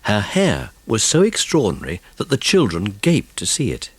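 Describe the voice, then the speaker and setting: A man's voice: lowish voice, huge pitch swings, normal speed, husky, no room sound. A man with a British accent narrating a children's audiobook as a scripted, dramatised story.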